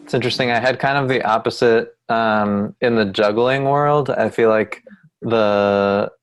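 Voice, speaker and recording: Reflective man with a low voice. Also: fairly even intonation, measured pace, clear, resonant tone. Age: 30s. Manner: reflective, casual, hedging with "like". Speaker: juggler. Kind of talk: casual group video call. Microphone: video call.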